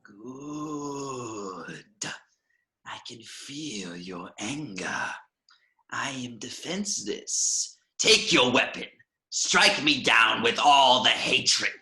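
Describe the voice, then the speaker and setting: A man's voice: mid-range voice, lively intonation, slow, sharp tone, exaggerated voice, rough recording. A man playing an evil old emperor who gloats and goads, in a table read of a film script. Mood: gloating, goading. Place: home, video call.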